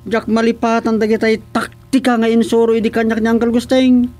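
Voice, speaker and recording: A man's voice: very high-pitched, completely flat delivery, brisk, darkish tone, faint music behind. A man with an Ilocano accent acting a boastful, coaxing suitor in a comedic radio drama.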